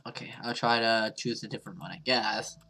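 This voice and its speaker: A man's voice: lowish voice, steady tone, a little fast, vocal fry, pushed and bright. A man hosting a let's play, reading a horror visual novel aloud and stumbling over some words as he goes.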